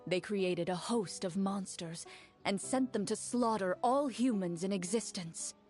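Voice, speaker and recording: A woman voicing a cool, composed young woman who runs a game in a video game, speaking as a calm, measured storyteller. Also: medium pitch, natural voice. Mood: narrating. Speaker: game master. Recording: game audio with faint music behind.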